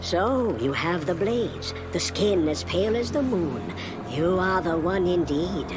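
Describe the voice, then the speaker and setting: A man's voice: high-pitched, animated, unhurried, over music, rough recording. A man playing a knowing old gravedigger in a video game, with a cryptic, teasing, fatherly way of talking. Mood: wry, cryptic. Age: older. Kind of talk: dramatic video game acting.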